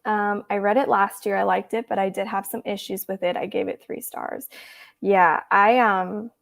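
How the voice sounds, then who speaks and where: A hoarse young woman in her twenties hosting a casual reading livestream, chatty and giggly as she reads viewer comments, trying to talk more quietly than usual. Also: mid-range voice, steady tone, normal speed. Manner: tries to speak softer than usual. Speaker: booktube livestream host. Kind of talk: casual chat with live viewers.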